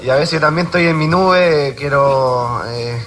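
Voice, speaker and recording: A man from Chile giving rambling, halting answers in an interview clip. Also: on the high side, fairly bright tone. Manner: rambling and halting, with many restarts. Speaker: football player in a recorded interview.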